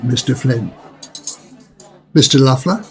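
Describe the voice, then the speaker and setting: A man playing a courtly, enigmatic restaurateur in a radio drama, speaking formally and cryptically in a husky voice. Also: medium pitch, faint music behind, some chatter behind, exaggerated voice. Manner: formal, cryptic, measured phrasing. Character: courtly, ominous male restaurateur, enigmatic and philosophical. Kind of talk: fan-made radio drama acting.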